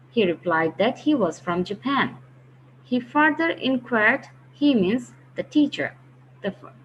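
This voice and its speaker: A woman teaching a grammar lesson, patiently explaining step by step and slowing down to read example sentences. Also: a little high, a bit bright, muffled recording, slight background noise. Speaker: English teacher.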